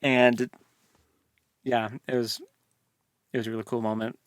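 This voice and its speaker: A man's voice: mid-range voice, flat delivery, unhurried, dry recording, clean studio sound. A man chatting casually as a podcast co-host, with a colloquial, slangy, jokey way of talking. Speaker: co-host of a book discussion podcast. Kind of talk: casual chat recapping and reviewing a fantasy novel.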